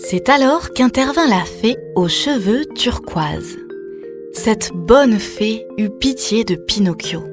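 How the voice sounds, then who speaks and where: A woman's voice: a little high, animated, slow pace. A woman reading a scripted children's story as a storyteller, putting questions to the young listener. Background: music.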